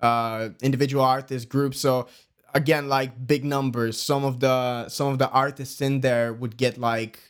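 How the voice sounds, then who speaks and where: A man's voice: mid-range voice, flat delivery, measured pace, clear, resonant tone. A young man in his early twenties from Bulgaria, whose first language is Bulgarian, chatting casually as a guest in a loose, rambling way and laughing easily. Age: early 20s. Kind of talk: casual chat.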